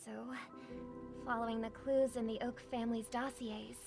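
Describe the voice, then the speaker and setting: An actress voicing a poised young woman singer in a story game. She speaks high and soft, composed and earnest. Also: little pitch movement, moderate pace, music in the background, natural voice. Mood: calm. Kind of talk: game voice acting.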